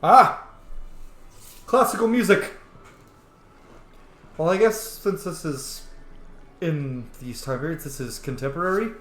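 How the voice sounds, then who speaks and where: A man's voice: a little high, lively intonation, slow, long pauses, fairly bright tone. Profane joking man playing a game. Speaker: gameplay commentator. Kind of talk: casual game commentary. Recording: roomy room with faint noise.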